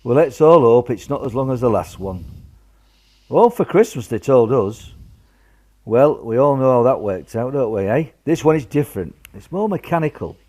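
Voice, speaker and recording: A man acts a gruff old grandad in a wartime radio play, with a very animated delivery. He is blunt and folksy, a veteran who steadies his family. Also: medium pitch, moderate pace, lightly acted. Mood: reassuring. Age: older. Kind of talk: amateur radio drama acting.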